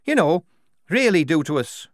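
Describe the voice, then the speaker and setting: Man playing a nervous young soldier girl, high-pitched. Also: big pitch swings, moderate pace, fairly bright tone, no room sound, clean studio sound. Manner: anxious, pleading. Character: nervous, naive, anxious young woman soldier.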